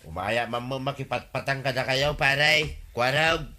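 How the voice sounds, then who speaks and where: A folksy village pal in a comedy radio play. The voice is mid-pitched and piercingly bright and forceful, with an Ilocano accent and chummy, colloquial delivery. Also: male voice, moderate pace.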